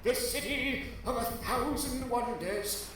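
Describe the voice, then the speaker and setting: A man's voice: extremely high pitch, slow pace, a little hiss or hum, pitched above the performer's own voice, heavily acted. A man voicing a solemn, bearded old guide of a mystical ship in a dramatic fantasy reading, with grave, ominous pronouncements. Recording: heavily echoing distant voice under loud music. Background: atmospheric music.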